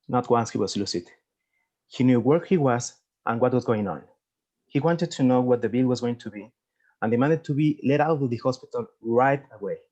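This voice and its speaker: A man playing a serious forty-five-year-old doctor, matter-of-fact and measured, at a screenplay table read. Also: mid-range voice, warm tone, muffled recording, lightly acted.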